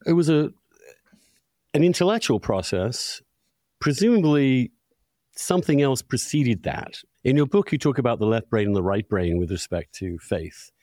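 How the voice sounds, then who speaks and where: A man's voice: mid-range voice, big pitch swings, moderate pace, a little mellow, no room sound, clean studio sound. A man hosting a podcast, interviewing in a conversational, probing and emphatic way. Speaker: podcast host.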